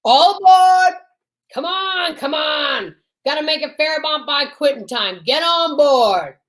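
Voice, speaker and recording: A brash cable car conductor, played by a woman in an old-time radio comedy. The voice is high and unhurried in its long calls, hustling passengers along with impatient authority. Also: expressive, exaggerated voice. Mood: impatient, calling out. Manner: loud calling, hurrying passengers along.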